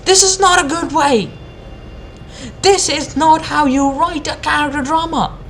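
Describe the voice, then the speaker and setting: A woman's voice: high-pitched, measured pace, fairly bright tone, slight background noise. A woman giving an informal, sweary video rant as a reviewer, in a blunt and sarcastic manner. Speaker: anime review video maker. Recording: music behind the voice. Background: background music.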